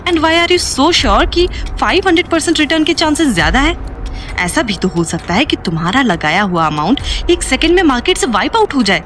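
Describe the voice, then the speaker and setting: A woman's voice: sits high, sing-song, normal speed, a bit bright, a little hiss or hum. A woman playing a worried, questioning young woman in an audio drama, mixing in English phrases. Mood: anxious. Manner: anxious, questioning, mixes in English. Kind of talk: Hindi audio drama acting. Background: background music.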